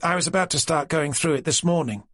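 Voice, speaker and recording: A man's voice: fairly high pitch, fast, a bit bright, dry recording, clean studio sound, voice pushed up, lightly acted. A man voicing a composed female office worker who politely corrects others.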